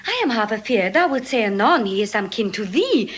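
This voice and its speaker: An actress playing a poised, witty and commanding noble lady in a classical verse play, measured and wry. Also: a little high, sing-song, breathy edge. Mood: playful, eager. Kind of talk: classical stage verse acting.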